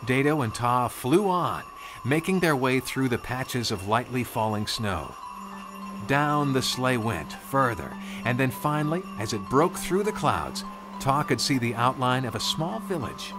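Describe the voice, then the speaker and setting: Casting: male storyteller, mid pitch with lively swings, dramatic yet conversational, music bed. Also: measured pace. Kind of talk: scripted story narration. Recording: music under the voice.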